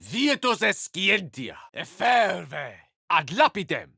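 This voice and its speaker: An actor playing a courteous, bookish human wizard with a melancholy streak, speaking articulate, theatrical lines for a video game. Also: male voice, high-pitched, very animated, takes it slowly, creaky, bright, forceful tone, slightly rough, full character voice. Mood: incanting.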